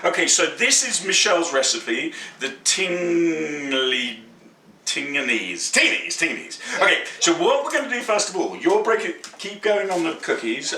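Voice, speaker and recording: Man, middle-aged, cooking show host, bright voice, animated pitch, echoey kitchen, faint background noise. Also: distant mic. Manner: excitable, playful and chatty, jokes and rambles. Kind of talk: chatty home cooking demonstration. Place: home kitchen.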